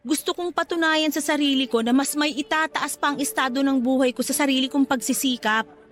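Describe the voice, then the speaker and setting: A woman's voice: high voice, fairly even intonation, fairly quick, a bit bright. An actress playing an anxious, ambitious young woman pleading earnestly with her boyfriend in a radio drama. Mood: earnest, anguished. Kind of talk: Tagalog radio drama acting.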